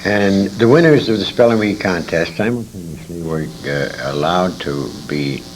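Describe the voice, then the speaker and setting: A man's voice: sits low, normal speed. A man in his sixties telling detailed, emphatic anecdotes with asides, as a veteran radio actor reminiscing in an interview. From born in England, grew up in Detroit, USA. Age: 60s. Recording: noisy 1980s convention interview recording.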